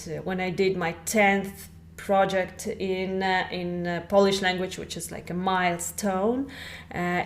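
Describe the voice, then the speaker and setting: Woman explaining carefully. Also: mid-range voice. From Russia. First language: Russian. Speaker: guest. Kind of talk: podcast interview.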